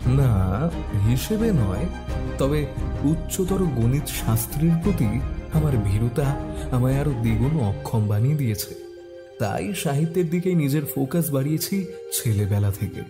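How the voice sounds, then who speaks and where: A man narrates a scripted detective story in the first person. His voice sits at a medium pitch, with a prominent music bed running behind it. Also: very animated, measured pace, long pauses.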